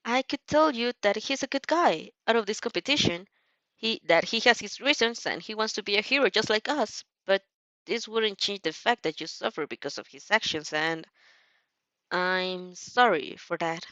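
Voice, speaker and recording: A woman's voice: medium pitch, expressive, moderate pace, a bit bright, no echo, dull audio, close to an everyday voice. A woman voicing an awkward, earnest, apologetic teenage schoolgirl in a story, with hesitant, dramatic delivery. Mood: remorseful.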